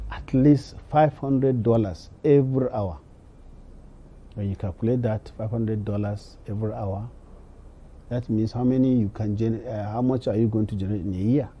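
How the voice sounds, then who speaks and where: A man with a Nigerian accent giving unscripted interview remarks as an institution's head, emphatic and halting. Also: lowish voice, steady tone, slightly rough, dull audio. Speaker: college head.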